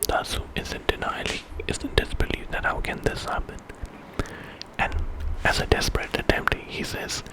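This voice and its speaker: A man telling a bedtime story in ASMR style, in a breathy whisper and an informal, conversational way. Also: moderate pace, poor audio. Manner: informal retelling with quoted dialogue.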